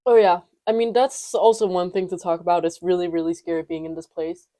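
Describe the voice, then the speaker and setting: Late-teen female co-host, relaxed and giggly, lowish warm voice, dry sound. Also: fairly quick, no room sound. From Denmark and Thailand. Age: late teens. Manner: relaxed, giggly, hesitant with fillers. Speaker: podcast co-host. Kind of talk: casual chat. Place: student apartment.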